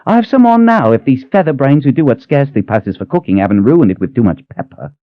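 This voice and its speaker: A man voicing a thin, excitable older woman who runs a palace kitchen, gushing in a high voice. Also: fast talker, dry recording, lightly acted. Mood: fussing. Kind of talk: audiobook character voice. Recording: narrow tinny phone-like sound.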